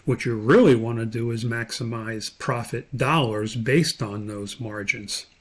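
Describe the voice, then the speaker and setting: A man explaining software step by step as an instructor, thinking aloud in an unscripted tutorial. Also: medium pitch, measured pace, slightly rough.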